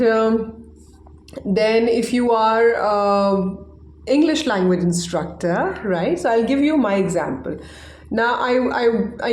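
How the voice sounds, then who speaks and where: A chatty woman teacher whose first language is Hindi talks through a relaxed online lesson and keeps asking "right?". Her voice sits fairly high for a woman. The room around her is echoey. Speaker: English teacher.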